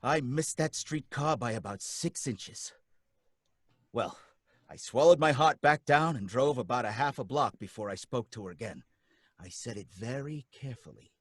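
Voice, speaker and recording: A man's voice: mid-range voice, lively intonation, normal speed, no room sound, studio quality, natural voice. An actor playing a nervous working-class cab driver who narrates his own story, rambling in folksy street talk. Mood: shaken. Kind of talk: radio drama acting.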